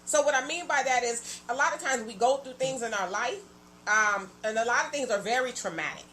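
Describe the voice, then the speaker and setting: A woman's voice: fairly high pitch, brisk, bright, forceful tone, a little hiss or hum, rough recording. A woman in her forties hosting a casual chat, talking openly and colloquially, often laughing. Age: 40s. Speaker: host.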